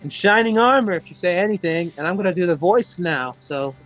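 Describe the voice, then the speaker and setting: Animated, encouraging co-host of a gaming video. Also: medium pitch, fairly quick, faint music behind. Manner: encouraging, instructing a newcomer. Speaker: co-host and voice actor. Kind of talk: casual chat.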